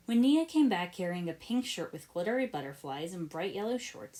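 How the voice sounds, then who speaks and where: A woman reading fan fiction aloud as an expressive story narrator. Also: fairly low pitch, rough recording. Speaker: podfic reader.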